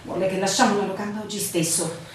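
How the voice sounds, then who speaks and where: An actress playing an anxious, devoted young fiancée in a radio drama, earnest and pleading in a theatrical way. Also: female voice, medium pitch, steady tone, quick pace, lightly acted. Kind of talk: Italian radio drama acting. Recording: very echoey, distant, faintly noisy recording.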